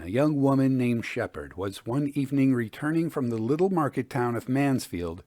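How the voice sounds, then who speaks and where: Male narrator for classic ghost tales: measured, formal delivery, mid-range pitch, very little room sound. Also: a little mellow, no room sound. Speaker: narrator reading ghost stories. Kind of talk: scripted storytelling narration.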